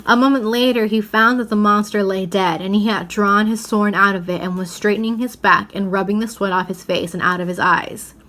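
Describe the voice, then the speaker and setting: A woman narrates a children's storybook at a medium pitch. She reads expressively, giving dramatic emphasis to key words as a read-aloud storyteller would. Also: steady tone, brisk, few pauses, a bit bright. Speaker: reader reading a children's novel aloud.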